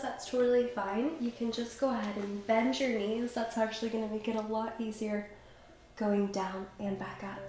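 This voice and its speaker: A young woman with a slight cold, calmly and encouragingly guiding a Pilates workout while she does the moves herself. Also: a little high. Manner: calm, encouraging, talks while exercising. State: has a cold, slightly stuffy. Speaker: pilates instructor. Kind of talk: guided workout instruction. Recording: very echoey room, faint background noise.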